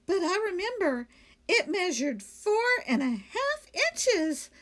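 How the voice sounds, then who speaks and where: A woman's voice: extremely high pitch, sing-song, slow pace, pitched above the performer's own voice, heavily acted. A woman voicing a cheerful, eager, literal-minded housemaid in a children's storybook, earnest and pleased with herself. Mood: proud, eager. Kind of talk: children's storybook character read aloud.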